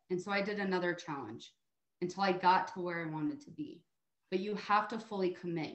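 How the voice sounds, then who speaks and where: An American woman giving a conversational motivational testimonial as a guest speaker, encouraging and emphatic. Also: fairly low pitch. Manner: encouraging, emphatic, often adds "right?". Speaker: guest speaker on a sales team call. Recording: roomy, echoey call audio.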